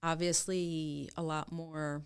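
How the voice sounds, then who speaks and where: A middle-aged woman from Israel, speaking as a guest in a relaxed interview. Her voice sits on the low side and comes through smooth and clear. She talks earnestly and at a measured pace. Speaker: guest, company co-founder. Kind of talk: casual interview talk.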